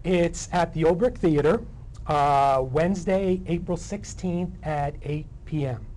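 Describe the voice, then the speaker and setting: A man's voice: a little high, unhurried, darkish tone, rough recording. A middle-aged man talking casually and modestly as a guest in an interview. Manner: understated, modest.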